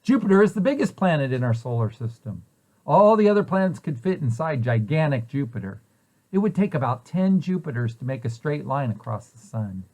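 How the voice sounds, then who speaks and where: A man's voice: medium pitch, very animated, fairly quick, a little mellow. A man reading a children's picture book aloud in an explanatory way, posing questions and then answering them. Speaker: preschool site director reading aloud to children.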